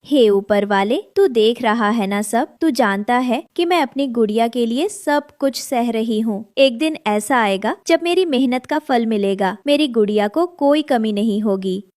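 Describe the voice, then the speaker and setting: A woman's voice: a little high, animated, unhurried, few pauses, darkish tone. A woman voicing a devoted, resolute mother bird in a cartoon, earnest and heartfelt.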